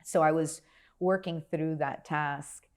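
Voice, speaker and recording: A woman's voice: deep voice, steady tone, slow. A woman in her forties from Miami, speaking as an interview guest in a reflective, searching way, with fillers and restarts. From Miami, Florida. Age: 40s. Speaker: guest, healer and yoga instructor. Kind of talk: casual interview conversation.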